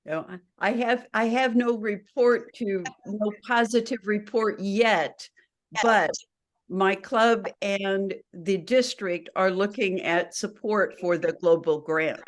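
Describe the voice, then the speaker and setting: A woman's voice: mid-range voice, takes it slowly. A woman giving an informal progress update as a participant in a club meeting.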